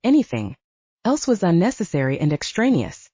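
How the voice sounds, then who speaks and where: A woman narrates an audiobook in a soft-edged, mellow voice. Her pitch moves in an animated way through the scripted prose. The recording is close and dry, with a somewhat dull top end. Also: normal speed, slightly rough, no room sound.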